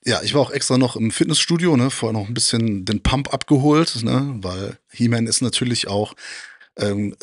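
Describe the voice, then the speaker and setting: A man's voice: medium pitch, normal speed, a little hiss or hum. A man of around forty chatting casually as a guest, speaking into a handheld microphone. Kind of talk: casual chat, reminiscing. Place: indoors, small room full of media shelves.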